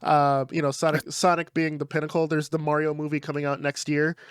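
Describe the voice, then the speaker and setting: A man with a slightly high voice chats on a podcast, excitable and quick to laugh, swearing as he goes. The sound is dry and close, with no room echo. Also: smooth. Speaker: podcast co-host. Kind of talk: casual chat.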